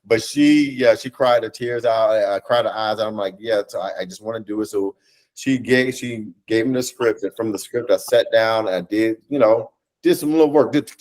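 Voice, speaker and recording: A man with a low voice and an American accent talks in an animated, conversational way. He tells his story as an interview guest on a video call, often restarting and rephrasing his thoughts. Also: normal speed, poor audio. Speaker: theatre director and writer, interview guest.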